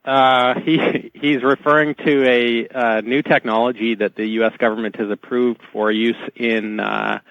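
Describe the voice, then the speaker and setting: On a dull, dry radio line, a man of medium pitch explains technical matters as an interview guest. He is matter-of-fact and somewhat halting in his delivery. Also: flat delivery, creaky, no room sound.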